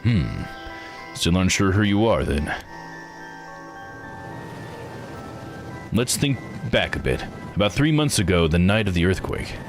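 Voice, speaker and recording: A man voicing a blunt, plain-spoken man from a visual novel game, gruff and probing. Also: low-pitched, very animated, moderate pace, faint music behind.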